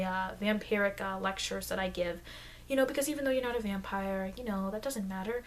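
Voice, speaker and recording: A woman playing a friendly, rambling vampire lecturer in an improvised ASMR roleplay, speaking in halting, chatty asides that aim to reassure her human listener. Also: close to an everyday voice. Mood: friendly, reassuring. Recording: noisy, low-grade microphone.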